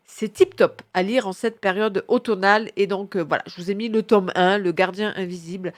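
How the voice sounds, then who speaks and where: Casting: woman, mid-pitched, sniffly with a cold, chatty and enthusiastic book reviewer who rambles in slang. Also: steady tone, brisk. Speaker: book reviewer presenting her reading picks. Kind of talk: casual chatty book recommendation monologue.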